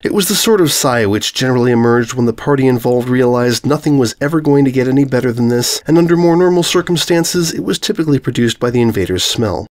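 A man's voice: medium pitch, lively intonation, quick pace, hardly any pauses, darkish tone. A man reading scripted comic fiction as narrator.

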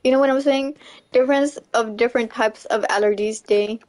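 Young female streamer, slightly high voice, casual, chatty, quick to laugh. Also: fairly even intonation, darkish tone. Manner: chatty, laughs often, repeats "you know what I'm saying". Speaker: livestreamer. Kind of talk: casual chat while gaming, reading chat.

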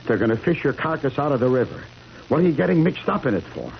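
A man's voice: mid-range voice, big pitch swings, quick pace, gravelly. An actor playing a defensive, exasperated ordinary man in a 1970s radio drama, blunt and argumentative, on a muffled, hissy recording. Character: defensive, exasperated ordinary guy quarrelling with a woman.

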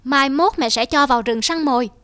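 A woman's voice: high-pitched, fairly quick, a bit bright, no room sound. A woman voicing a stern but caring mother leopard in a children's cartoon, with a firm, instructive manner. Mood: gentle, teaching.

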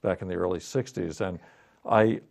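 A man's voice: deep voice, normal speed, gravelly, a little mellow. A man in his sixties interviewing a guest about books in a hesitant, thoughtful way, on a lapel mic in a studio. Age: 60s. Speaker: interviewer, writer and musician. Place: quiet TV studio set with bookshelves.

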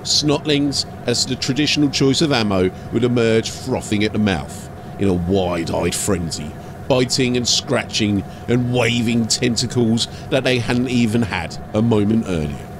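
A man's voice: mid-range voice, measured pace, background noise. A man giving a scripted, dramatic narration as an audiobook storyteller.

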